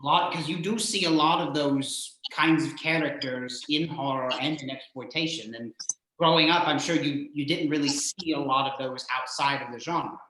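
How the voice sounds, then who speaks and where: A man hosting a casual interview, agreeable and halting, often repeating words as he goes. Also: a little high, sharp tone. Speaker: host, interviewer. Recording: very echoey, reverberant room.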